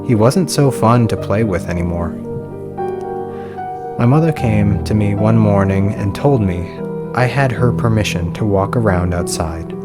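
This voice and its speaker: A man's voice: lowish voice, a little slow. A man reading a scripted first-person horror story as its narrator. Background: soft music.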